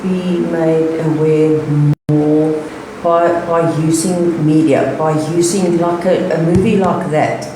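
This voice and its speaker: Low, unhurried woman radio host who keeps affirming her guest, on an echoey, noisy live line. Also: steady tone, far from the mic. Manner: encouraging, many short affirmations. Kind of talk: radio interview. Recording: echoey live feed with noise.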